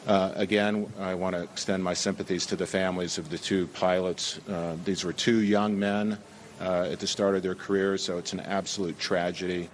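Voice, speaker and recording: A male official speaks at a briefing in a low, flat voice. His phrasing is halting, with faint noise in the background. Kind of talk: public briefing statement.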